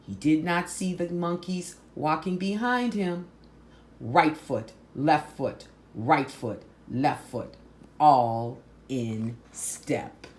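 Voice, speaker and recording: A woman reading a picture book aloud to children, phrasing deliberately and stressing words for drama. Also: low voice, animated, a little mellow, a little hiss or hum. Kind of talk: slow, expressive picture-book read-aloud.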